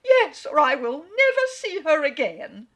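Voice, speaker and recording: A shrill, frantic mother. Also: big pitch swings, measured pace, a bit bright, full character voice. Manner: frantic, breathless exclamations. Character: shrill, excitable middle-aged mother, frantic. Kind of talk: dramatic reading.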